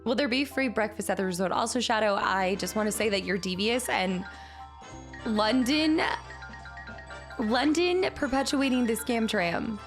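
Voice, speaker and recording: A woman streamer chatting casually with her viewers and thanking them, upbeat, her voice a little raspy today. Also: on the high side, unhurried, soft background music. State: slightly raspy voice. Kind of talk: casual stream chat reading chat. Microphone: streaming mic.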